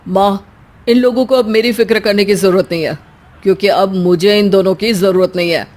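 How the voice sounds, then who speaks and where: A woman voicing a young village man who moves to the big city, in an animated story. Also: mid-range voice, normal speed, lightly acted. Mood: earnest.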